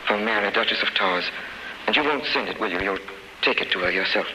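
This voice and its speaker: An actor playing an anguished, hot-tempered young condemned prisoner in a theatrical 1930s radio drama style. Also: male voice, lowish voice, quick pace, echoey room, lightly acted. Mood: quiet, resigned. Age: young adult. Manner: theatrical, impassioned. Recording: noisy old 1930s radio recording.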